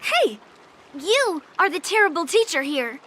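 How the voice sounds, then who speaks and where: A woman's voice: very high-pitched, big pitch swings, a little slow, sharp tone, slight background noise, exaggerated voice. An actress playing a blunt, proud young female sword master in an anime-style video game, frank and no-nonsense. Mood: indignant.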